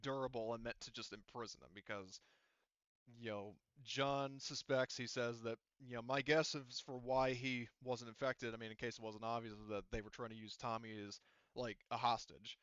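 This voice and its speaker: A man acts as game master for a tabletop game, improvising narration in a casual, halting way as he thinks aloud. His voice is clean and resonant, at a middling pitch. The sound is close and dry but a little dull. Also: steady tone, fairly bright tone, smooth, no room sound.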